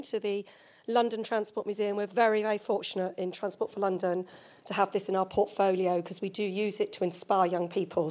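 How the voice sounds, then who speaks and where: A middle-aged woman with a slightly croaky, losing voice talks on a seminar panel. Her tone is mellow and dark, measured and steady, picked up by a handheld microphone in a museum event room. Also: medium pitch. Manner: measured, speaks through a handheld mic. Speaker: panelist, head of talent. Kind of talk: panel discussion remarks. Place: museum event space with a live audience.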